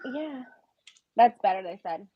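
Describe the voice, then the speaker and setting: A woman sewing instructor chatting in a relaxed, friendly way during a video call from a shared office at her sewing school, laughing often. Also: on the high side, measured pace, highs cut off, a crowd in the background. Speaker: sewing instructor, guest on a live stream. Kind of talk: casual live-stream chat. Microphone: computer mic on a video call.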